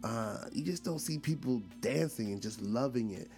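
A man in his forties from Brooklyn tells a rambling story in a relaxed, conversational way. He talks with plenty of fillers and restarts and keeps checking in with his listeners. His pitch sits in a middle range for a man, and soft music plays faintly behind him. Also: normal speed. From Brooklyn, New York. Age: 40s. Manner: chatty, frequent fillers and restarts, asks listeners questions. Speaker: podcast host. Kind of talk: casual storytelling monologue.

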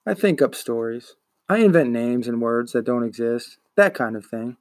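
A man's voice: mid-range voice, lively intonation, moderate pace, darkish tone, no room sound, clean studio sound, lightly acted. A man voicing a meek, bullied young schoolboy in a storybook, hesitant and subdued.

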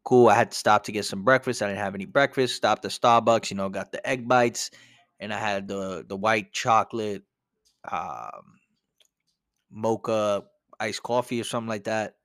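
A young man talks casually on his solo podcast, rambling and filling his sentences with "you know". His voice sits low and comes through smooth and clear, with a slight congestion from a cold. The sound is dry and close, with no room echo. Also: moderate pace, a bit bright. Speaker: podcast host.

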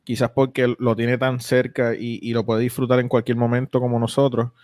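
A man's voice: mid-range voice, level tone, normal speed, darkish tone, no room sound, clean studio sound. A young man hosting a casual podcast, rambling with lots of fillers and restarts. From United States. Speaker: podcast host.

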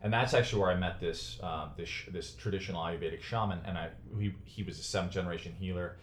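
A man's voice: deep voice, steady tone, fast talker. A man in his forties from Chicago, talking as a podcast guest in an earnest, thoughtful way and often restarting phrases as he searches for words. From United States (Chicago). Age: 40s. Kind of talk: reflective conversational interview. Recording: roomy echo.

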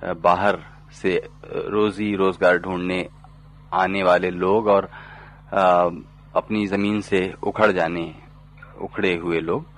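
A man asking long interview questions, halting with many hesitations. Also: low voice, little pitch movement. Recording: old tape recording.

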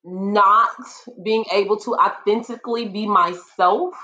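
Woman panelist, deep voice, earnest and assertive. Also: steady tone, unhurried, sharp tone, muffled recording, slight background noise. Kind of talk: casual discussion.

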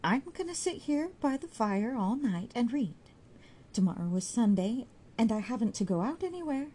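A woman's voice: fairly high pitch, sing-song, normal speed, mellow, pitched above the performer's own voice. A woman voicing a caring, earnest young man who speaks tenderly and urgently in a storybook reading. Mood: reassuring.

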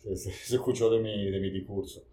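A man's voice: lowish voice, flat delivery, fairly quick, warm tone, a little hiss or hum. A man in his forties, born in Italy and raised in Venezuela, chatting as a podcast guest in a rambling way and repeating himself. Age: 40s. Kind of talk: casual conversation.

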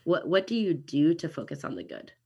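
An American woman in her early thirties chats as a podcast guest, upbeat and animated. Her voice sits low and has a husky, breathy edge. She restarts her sentences often as she talks. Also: brisk, lo-fi sound. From Kansas City, USA. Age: 30s. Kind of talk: casual interview chat.

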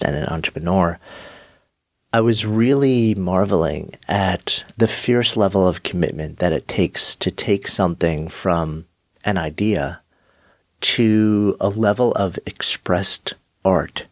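Deep-voiced man with a head cold. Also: dry recording, clean studio sound. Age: middle-aged. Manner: thoughtful, measured, with frequent pauses and fillers. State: has a cold, slightly nasal. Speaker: podcast host. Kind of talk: solo reflective podcast monologue.

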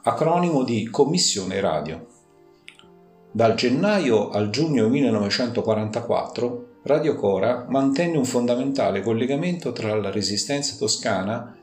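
A man's voice: medium pitch, darkish tone. A man reading a scripted historical narration as a podcast contributor. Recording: roomy echo, faint music underneath. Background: faint music.

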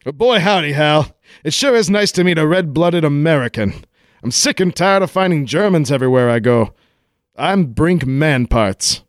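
Man playing brash high-voiced adventurer Brink. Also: lively intonation, normal speed, no room sound, clean studio sound, close to an everyday voice. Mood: breezy, cocky. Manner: folksy, sarcastic, cocky. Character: brash, wisecracking American adventurer hunting the Grail. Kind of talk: 1940s-style radio play acting.

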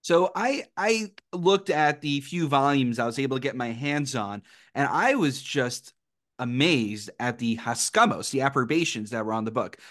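A man's voice: medium pitch, expressive, normal speed, fairly bright tone. A man from New York hosting a conversational interview, informal, self-deprecating and digressive, dropping in religious scholarly terms. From New York, United States. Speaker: podcast host.

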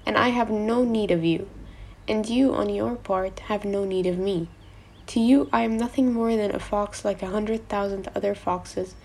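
A woman voicing a gentle, wistful male fox from a storybook, speaking in an earnest, measured way. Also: mid-range voice, vocal fry. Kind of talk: storybook character voice.

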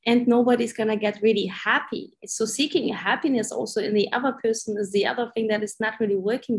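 A woman from Ukraine, a coaching guest on a podcast, talking warmly and encouragingly with slightly phrasing. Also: mid-range voice. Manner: warm, encouraging, slightly non-native phrasing. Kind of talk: conversational interview.

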